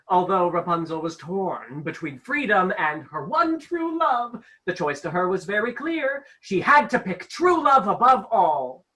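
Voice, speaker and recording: A controlling fairy-tale narrator, acted by a woman, bright and very animated in pitch. The delivery is grand mock-storybook, pompous yet halting and easily flustered. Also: low-quality recording.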